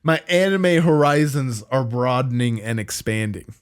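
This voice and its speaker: A man co-hosting a casual show of reactions to anime, easygoing and relaxed, often dropping yeah and man. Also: medium pitch, moderate pace, clean tone. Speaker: co-host.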